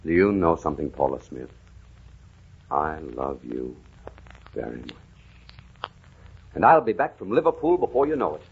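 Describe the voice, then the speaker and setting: An actor playing a haunted amnesiac war veteran, narrating his past in a halting, earnest old-time radio drama style. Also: very deep voice, sing-song, creaky, noisy background, full character voice. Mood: tender. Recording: muffled lo-fi vintage radio recording.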